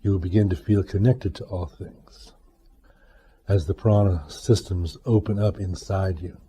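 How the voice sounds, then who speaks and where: Very dark, mellow male meditation teacher. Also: deep voice, fairly even intonation, slightly rough. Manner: measured, deliberate, with pauses between short phrases. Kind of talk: guided meditation instruction.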